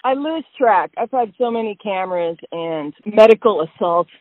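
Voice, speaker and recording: A woman's voice: medium pitch, animated, moderate pace, dry recording. A woman chatting casually as a podcast co-host, answering with wry, dry jokes. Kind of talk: casual chat. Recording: dry phone-like sound.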